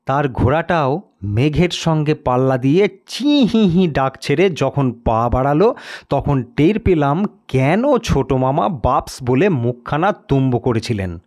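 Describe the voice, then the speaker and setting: A man's voice: on the high side, animated, a little slow, a little mellow. A man narrating a scripted story in a dramatic storytelling style.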